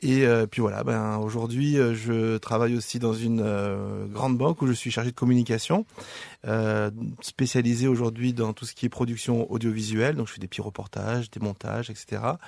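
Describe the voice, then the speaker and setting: Gravelly-voiced French man, hesitant. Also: medium pitch, fairly even intonation, brisk, a little mellow, dry recording. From France. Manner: hesitant, many fillers. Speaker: radio guest. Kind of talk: casual radio chat.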